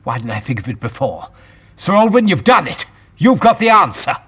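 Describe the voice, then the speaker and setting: A man acting as a brisk gentleman scientist in an audio drama, with a forceful, husky voice that swings freely in pitch. He explains things with old-fashioned courtesy and takes command, over a music bed. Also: very animated, full character voice. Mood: urgent, authoritative.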